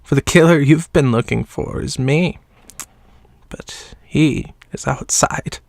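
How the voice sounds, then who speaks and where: A man's voice: medium pitch, slow, vocal fry, dry recording, clean studio sound. An actor playing a sickly, dying man, bitter and self-loathing, who laughs wryly and drops to whispers, in a horror audio drama. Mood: confessing. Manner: wry, mocking laughs, sinking to whispers. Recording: soft music underneath.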